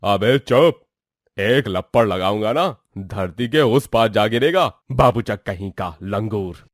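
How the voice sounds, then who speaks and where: A man's voice: lowish voice, expressive, slow pace, dry recording, clean studio sound, pitched below the performer's own voice. A man voicing a brutish, threatening village bandit in a moral story. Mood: menacing. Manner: threatening, insulting.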